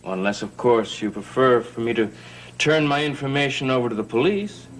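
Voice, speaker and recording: An actor playing a suave, insistent gentleman in a gothic soap opera, mock-polite and pressing. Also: medium pitch, normal speed, close to an everyday voice, poor audio. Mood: smooth, pressing. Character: suave, insistent gentleman probing with veiled threats. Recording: old TV soundtrack with music behind.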